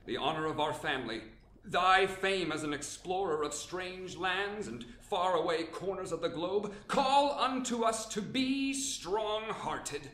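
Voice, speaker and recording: A man's voice: high-pitched, a little slow, a bit bright, roomy sound. An actor playing a formal, pompous aristocratic father who speaks in archaic phrasing. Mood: musing. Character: pompous old baron father, grandly fond. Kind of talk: amateur radio drama acting.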